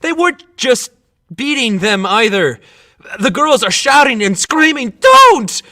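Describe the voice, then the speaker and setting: A man acting a fervent, rowdy young soccer fan at a protest, excitable, coarse and halting. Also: very high voice, a little hiss or hum, exaggerated voice. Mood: shaken outrage. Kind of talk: dramatic monologue.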